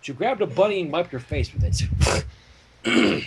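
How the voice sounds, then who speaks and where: A man with a husky voice hosting a livestream, narrating a fan story aloud with casual asides. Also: fairly high pitch, expressive, normal speed, noisy background. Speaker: fan fiction reader, livestream host.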